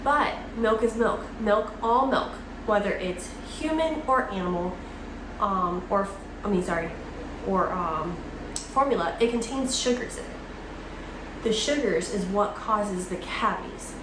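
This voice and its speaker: In an echoey room with loud background noise, a young woman talks casually to camera. She explains things informally and a bit haltingly, as a vlogger working from notes, and sounds like she is getting over a cold. Her pitch is average for a woman. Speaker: dental hygienist vlogger. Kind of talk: casual educational vlog talk to camera.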